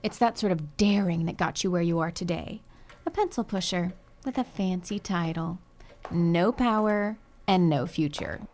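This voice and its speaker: Sardonic, spiteful rich daughter played by an actress. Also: female voice, fairly low pitch, moderate pace, darkish tone, natural voice. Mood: mocking. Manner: sarcastic, mocking, insolent. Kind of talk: audio drama acting.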